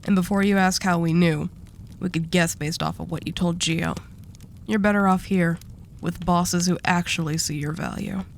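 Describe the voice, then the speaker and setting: A woman's voice: fairly low pitch, fairly even intonation, moderate pace, slight background noise, natural voice. A woman acting as a dominant female mafia boss in an intimate audio roleplay, composed, teasing and coolly seductive. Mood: persuasive. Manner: teasing, condescending endearments, composed.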